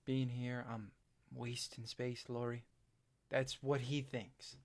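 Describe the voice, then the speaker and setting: A man performs a self-doubting country farm boy in a stage drama. He speaks haltingly and plainly to a friend, like someone haunted and unsure of himself. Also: medium pitch, level tone. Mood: dejected. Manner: halting, hesitant, plain-spoken. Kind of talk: stage play acting.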